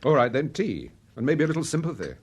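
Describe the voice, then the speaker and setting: A man playing a suave, mildly wry English gentleman detective in a radio drama, clipped and politely probing. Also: mid-range voice, wildly swooping pitch, quick pace, husky. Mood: wry, mildly irritated.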